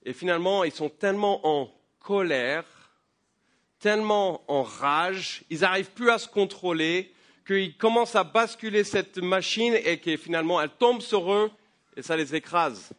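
A man preaching a sermon while he has a head cold. He talks conversationally, with many fillers and repeated words, and his voice climbs higher and louder as he builds to a point. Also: measured pace. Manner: rises in pitch and volume when building to a point. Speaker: pastor. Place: church.

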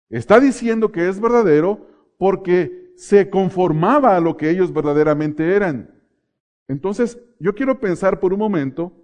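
A middle-aged man from Mexico preaching a sermon, asking the congregation questions, answering them himself and slowing down to stress key phrases. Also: fairly high pitch, darkish tone.

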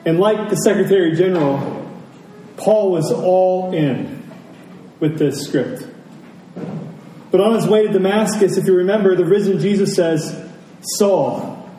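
A man preaching a sermon in a deliberate, emphatic way, with dramatic pauses between phrases. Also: high-pitched, dark tone. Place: church service, speaking to congregation. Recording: roomy echo, distant mic, faint noise.

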